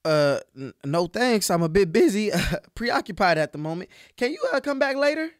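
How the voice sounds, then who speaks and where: An actor playing a nervous, modest city lawyer made sheriff, stammering politely in a comic western audio drama. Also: male voice, high voice, big pitch swings, normal speed, no echo, clean studio sound.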